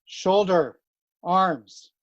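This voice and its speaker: A woman with a slightly low voice calls out military drill commands in short, clipped phrases. She leaves long pauses between them, at a very slow pace. The recording is close and dry, though somewhat muffled. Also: no echo. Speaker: drill caller.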